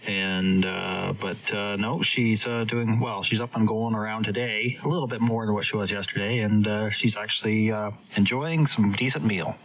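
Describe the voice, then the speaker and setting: A man from Canada chatting over amateur radio in measured, halting, slightly formal phrases. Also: fairly low pitch, fairly even intonation. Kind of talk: casual radio chat. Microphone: amateur radio transmission. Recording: narrow phone-like radio signal, faint static.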